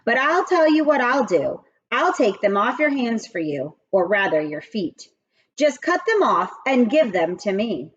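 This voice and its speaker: A sly schoolyard teacher from a storybook, a man played by a woman, coaxing and haggling in an animated, unhurried voice. The sound is a little dull and lo-fi. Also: a little high, slightly rough, full character voice. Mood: mock helpful. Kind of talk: children's storybook read-aloud.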